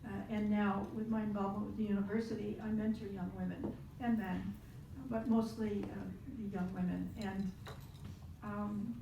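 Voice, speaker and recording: A woman's voice: medium pitch, level tone, normal speed, gravelly, lo-fi sound, noisy background. A woman in her seventies speaking reflectively as a panelist, with some restarts. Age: 70s. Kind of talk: panel discussion. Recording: roomy hall audio from far off.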